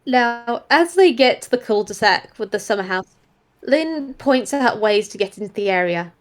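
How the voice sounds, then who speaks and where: A woman reading role-play story narration aloud in an expressive style. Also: a little high, moderate pace.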